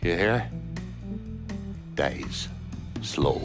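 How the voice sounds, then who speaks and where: Old irish mobster in a game, deep voice, slow talker, cussing and contemptuous, music behind. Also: male voice, lively intonation, full character voice. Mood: vengeful. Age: older. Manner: profane, contemptuous, belligerent. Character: bitter, foul-mouthed, hard-drinking older Irish gangster. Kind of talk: video game cutscene acting.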